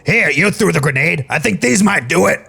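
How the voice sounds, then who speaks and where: An actor voicing a gruff, businesslike griffin mercenary with a gravelly, raspy delivery in a fantasy audio drama. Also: medium pitch, expressive, brisk, bright, forceful tone, full character voice. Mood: urgent, businesslike. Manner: terse, businesslike.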